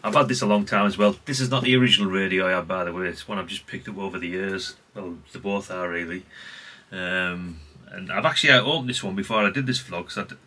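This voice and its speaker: A man's voice: low voice, fast talker, sharp tone, a little hiss or hum. A middle-aged man from chats unscripted as a hobbyist vlogger, rambling and conversational with repeated catchphrases. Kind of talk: unscripted casual chat, showing an item.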